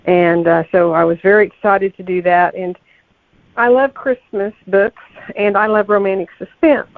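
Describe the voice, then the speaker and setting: Female interview guest on a thin phone-quality line; gravelly edge, conversational, thinks aloud as she goes. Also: medium pitch, fairly even intonation, normal speed, no echo. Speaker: podcast guest, novelist. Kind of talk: casual interview chat. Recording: tinny, phone-like, narrow-band line.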